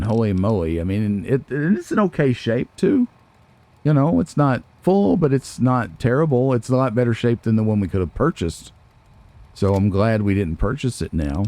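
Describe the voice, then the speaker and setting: Male let's player, deep mellow register, casual commentary, thinks out loud. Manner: musing, thinking aloud.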